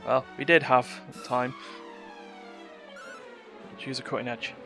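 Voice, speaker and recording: A man's voice: mid-range voice, level tone, unhurried, sharp tone. Man streaming a game over music. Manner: muttering to himself and reacting to play. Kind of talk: live gameplay commentary.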